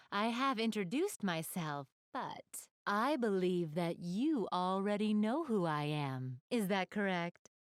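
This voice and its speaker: Refined, gracious young goddess, dry sound. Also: female voice, mid-range voice, no room sound. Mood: courteous, curious. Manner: formal, refined, gently ladylike phrasing. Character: gracious, polite young goddess, an avid gamer. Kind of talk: video game voice acting, addressing the player.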